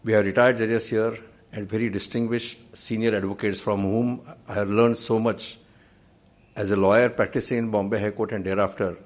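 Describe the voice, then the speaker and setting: Earnest Indian man lecturing from a podium. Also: lowish voice. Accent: Indian English. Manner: measured, earnest, wry anecdotes. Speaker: judge giving a memorial lecture. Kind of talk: formal lecture with anecdotes. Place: large auditorium stage. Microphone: podium microphone.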